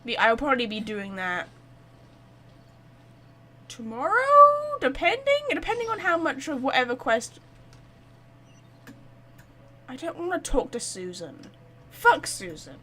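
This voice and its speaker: A woman livestreamer with a slightly high voice talks casually to her viewers while gaming. She rambles and gets excitable, in loose, chatty phrases. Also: measured pace, long pauses. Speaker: live streamer. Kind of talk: casual game stream chat.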